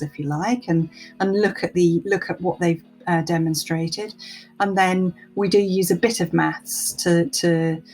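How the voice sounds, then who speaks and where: A woman speaking as an interview guest in a thoughtful discussion, explaining things in a measured, structured way. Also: fairly low pitch, a little mellow. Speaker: interview guest, senior product director.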